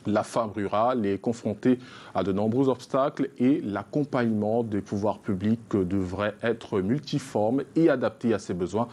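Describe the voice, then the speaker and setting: A man presenting an evening television news bulletin, reading headlines in a measured newsreader delivery. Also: fairly low pitch, dark tone, husky. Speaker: news anchor.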